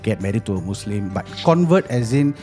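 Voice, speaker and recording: A man's voice: fairly low pitch, lively intonation, brisk, music in the background. A man in his thirties with a Singaporean accent, hosting a podcast in casual, bantering talk sprinkled with local particles. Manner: joking, repeats words and ends phrases with "lah" and "ah". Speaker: podcast host. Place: small room studio. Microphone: close podcast mic on boom arm.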